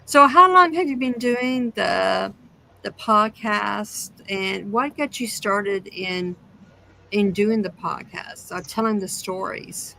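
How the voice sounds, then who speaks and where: A woman's voice: medium pitch, slow pace, gravelly, bright, forceful tone, low-quality recording. A woman hosting a show, chatting casually as she interviews a guest. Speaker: show host. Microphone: online call.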